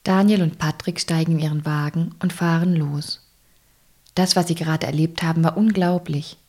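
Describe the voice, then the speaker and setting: A woman's voice: low-pitched, darkish tone. A woman narrating an audio drama from a script.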